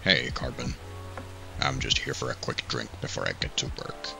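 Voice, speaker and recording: Man voicing a very deep, gravelly old werewolf. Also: steady tone, moderate pace. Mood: calm, friendly. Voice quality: very gravelly, rumbling. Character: gruff, kindly old werewolf man, hospital admissions officer. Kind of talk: fan-dubbed visual novel acting. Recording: music behind the voice.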